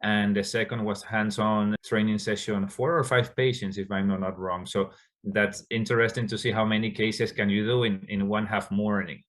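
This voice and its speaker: Ecuadorian guy, spanish first language, lowish flat voice, lots of uhs and restarts. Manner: halting, many fillers and restarts. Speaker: endocrinologist guest. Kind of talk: interview answers.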